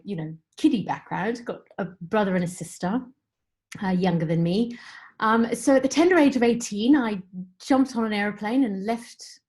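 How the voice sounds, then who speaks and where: A woman's voice: a little high, darkish tone. A middle-aged woman musician, raised in Zimbabwe, telling her life story as an interview guest in a reflective, conversational way, with many "you know"s.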